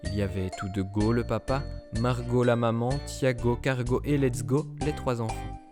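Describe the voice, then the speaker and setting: A man narrating a children's storybook cartoon in a lively, rhythmic reading. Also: mid-range voice, moderate pace. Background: children's music.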